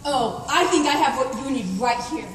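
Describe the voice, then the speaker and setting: Lady acting cheeky scrappy adventurer gal, high voice, echoey stage recording. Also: normal speed, lightly acted. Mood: cocky. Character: cheeky, combative female adventurer. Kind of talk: live comedic radio theatre acting. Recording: echoey hall, distant mic, faint noise.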